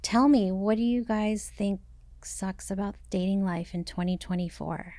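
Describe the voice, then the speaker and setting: A woman with a mid-pitched voice in a very dry, close recording. She hosts in a casual conversational style, dropping in short comments and direct questions. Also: steady tone, measured pace, dark tone, dry recording. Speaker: podcast host.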